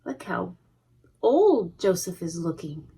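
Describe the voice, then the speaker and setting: A woman reading a picture book aloud to young children, expressively, pausing to comment on the pictures. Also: lowish voice, sing-song, mellow, breathy edge. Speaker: preschool educator reading a storybook. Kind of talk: read-aloud storytime with asides to young children.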